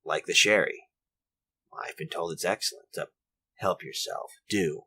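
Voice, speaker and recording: An elderly inventor in an old-time radio play, with a low, bright-edged voice. He is courteous and formal, rambling along with polite hesitations, a genteel invalid telling his story. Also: steady tone, measured pace, breathy edge, full character voice. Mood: polite, hesitant. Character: elderly, courteous infirm inventor of artificial limbs. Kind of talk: retro 1940s radio drama acting, monologue.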